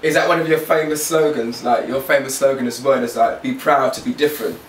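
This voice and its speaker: A man's voice: mid-range voice, steady tone, fairly quick, distant mic. A man with a British accent, chatting informally as an interviewer on location. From Britain. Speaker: interviewer, presenter. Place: on location in designer's studio. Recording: echoey, off-mic, faint background noise.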